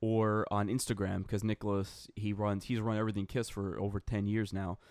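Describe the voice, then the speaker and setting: A man co-hosts a casual podcast chat, eager and leaning on fillers as he talks. His voice is low. The recording is dry and close. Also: little pitch movement, moderate pace, a little mellow, no echo. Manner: enthusiastic, filler-heavy. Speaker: podcast co-host.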